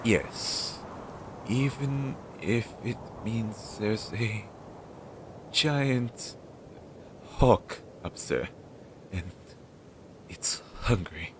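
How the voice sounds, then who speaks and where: An anxious demon familiar in crow's guise, voiced by a man for an audio roleplay. He talks slowly in a fairly low voice, hesitant yet chatty and devoted to his master. Clear background noise runs through the rough home recording. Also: expressive. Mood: nervous. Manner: hesitant, chatty, with sighs and trailing asides. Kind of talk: scripted audio roleplay addressed to the listener.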